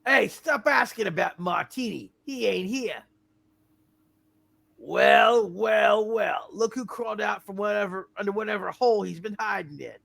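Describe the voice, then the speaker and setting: A woman voicing a surly, bullying old-time barkeep with a gravelly snarl in an amateur comic play reading. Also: medium pitch, measured pace, a bit bright, exaggerated voice. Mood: sneering. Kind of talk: hammed-up amateur puppet-show play reading.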